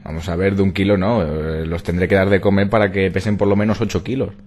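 A man's voice: low voice, flat delivery, fast, a crowd in the background. A young man with a Spain Spanish accent making a prank phone call in casual, stumbling, repetitive phrases. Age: young adult. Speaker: prank caller and video host. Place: small bedroom indoors. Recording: noisy hum behind voice.

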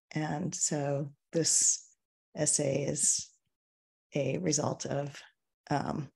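An older woman from the United States reads a personal essay over a video call in a measured, reflective way. Her voice sits low for a woman, and she leans into emphatic moments. She sits in a book-lined home study. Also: creaky, darkish tone. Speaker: essayist reading her work. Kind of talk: reading a personal essay aloud.

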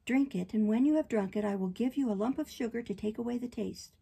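Woman as a patient, mellow motherly fairy. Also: on the high side, quick pace, gravelly, slightly rough, exaggerated voice. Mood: loving. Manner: loving, patient.

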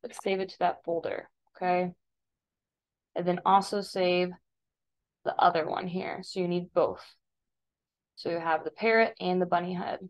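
American woman giving a software tutorial. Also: on the low side, fairly even intonation, normal speed, pauses often. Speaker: digital design teacher. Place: voice-over for a screen recording.

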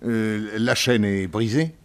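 An actor playing a solemn small-town sheriff who speaks hesitantly with fillers, in an old radio drama. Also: male voice, on the low side, fairly even intonation, slow pace.

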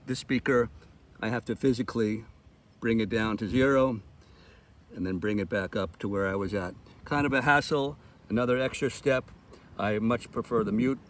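A man giving casual product demo commentary as a gear reviewer, talking outdoors in the open. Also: medium pitch. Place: open park lawn outdoors. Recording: outdoor recording with street traffic noise. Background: passing cars, outdoor ambience.

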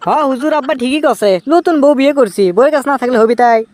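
A man's voice: very high-pitched, racing, darkish tone, dry recording. A man voicing a cheeky, evasive young newlywed husband with a Bogura Bangladeshi accent, whining out excuses in a comic cartoon. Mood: pleading, evasive.

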